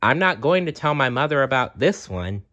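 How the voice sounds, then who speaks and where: A man's voice: mid-range voice, big pitch swings, moderate pace, sharp tone, lo-fi sound, pitched above the performer's own voice. A man voicing a stubborn young schoolgirl with a sore throat in a storybook, insistent in manner. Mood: plaintive, stubborn.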